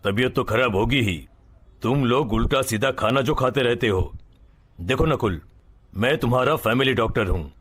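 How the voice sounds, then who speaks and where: A man playing an authoritative family doctor in a cartoon story, with a husky voice and lecturing manner. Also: mid-range voice, a bit bright. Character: stern, scolding male family doctor.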